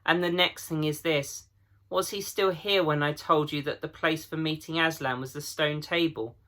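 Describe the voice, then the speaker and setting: A man's voice: a little high, fairly even intonation, normal speed, pushed and bright, voice pushed up. A man voicing a blunt, grave male beaver in a storybook reading, with weighty, urgent delivery.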